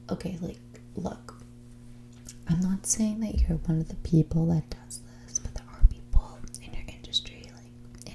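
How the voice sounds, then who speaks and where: A woman playing a spoiled, haughty young heiress in a whispered, soft-spoken ASMR roleplay, with a condescending, drawling manner. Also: fairly low pitch, gravelly. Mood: condescending, snobbish. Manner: snobbish, condescending, drawling, filler-laden valley-girl phrasing.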